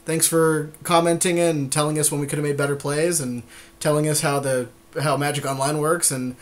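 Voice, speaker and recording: A man's voice: on the high side, fairly even intonation, a little fast. A man co-hosting a podcast, chatting casually with an agreeable, dryly joking manner during a card game draft. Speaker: podcast co-host.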